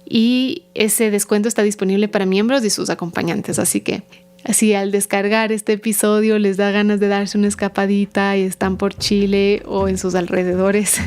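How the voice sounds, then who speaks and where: A woman's voice: mid-range voice, a little mellow. A woman speaking as a podcast host, interviewing a guest in a conversational way.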